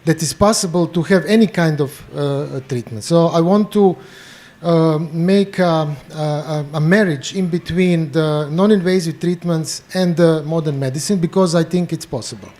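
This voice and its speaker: A man with a Croatian accent introducing himself on a panel, halting, with many fillers and restarts. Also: a little high, a little mellow. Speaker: panel guest, bioenergy therapist.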